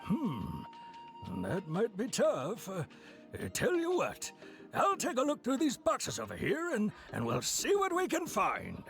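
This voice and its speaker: An actor playing a chatty, obliging middle-aged merchant in a video game, with a raspy voice and a folksy, animated way of telling things. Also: male voice, sits high, slow, soft background music, heavily acted.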